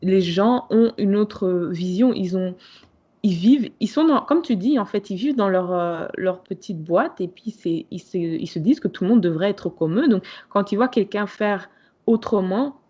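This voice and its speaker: A woman's voice: mid-range voice, expressive, moderate pace, dark tone, slightly rough, highs cut off. A woman co-hosting a podcast who gives frank advice in casual chat, restarting her sentences often. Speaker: podcast co-host.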